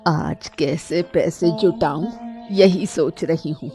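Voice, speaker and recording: A woman's voice: low voice, slow pace, over music. A woman playing a sly, thieving old woman in a cartoon story, with a wheedling, complaining manner. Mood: muttering, wary. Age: older.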